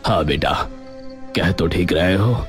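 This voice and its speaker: A fretful older village chief, voiced by a male narrator in an animated tale. He sounds hesitant and worried, in a lowered voice over music. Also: unhurried. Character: worried older village headman, a captive father. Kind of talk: Hindi animated story dialogue.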